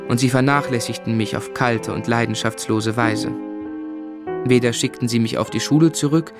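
An actor narrating as a grown man looking back on a lonely boyhood, in measured literary German radio drama style. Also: medium pitch, natural voice. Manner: reflective, measured storytelling.

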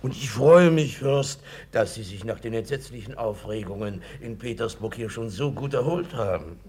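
An actor playing an obsequious, gossipy schemer in a radio play, gravelly and husky, wordy and insinuating in a servile way. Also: male voice, mid-range voice, lively intonation, moderate pace, a little mellow, a little hiss or hum, natural voice. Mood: fawning. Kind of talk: German radio play acting.

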